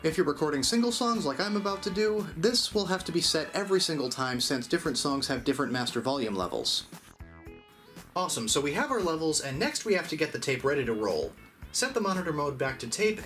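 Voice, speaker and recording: A man's voice: fairly high pitch, a bit bright. A man narrating a casual, step-by-step tutorial in a conversational, mildly self-deprecating way. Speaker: host of an audio gear channel.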